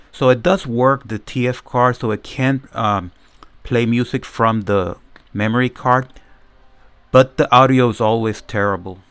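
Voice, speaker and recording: A man's voice: lowish voice, fairly bright tone. A man giving a casual, unscripted hands-on demonstration as a product reviewer, narrating each step and often repeating himself.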